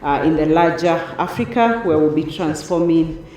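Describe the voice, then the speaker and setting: A woman's voice: deep voice, moderate pace, darkish tone. In a heavily echoing hall with faint noise, a woman speaks as a company representative. She answers a question from the audience in a formal public style. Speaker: bank representative.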